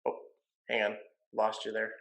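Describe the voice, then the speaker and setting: Male podcast host, bright voice, loose and friendly banter. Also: lowish voice, completely flat delivery, moderate pace, gravelly, lo-fi sound. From Michigan, USA. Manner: easygoing, jokey, says "man" and "you know" often. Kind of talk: casual interview chat.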